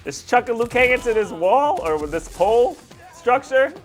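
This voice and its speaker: A man's voice: extremely high pitch, very animated, normal speed. A man hosting a reaction video, commenting on fight scenes in casual slang with hyped exclamations. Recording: music behind the voice.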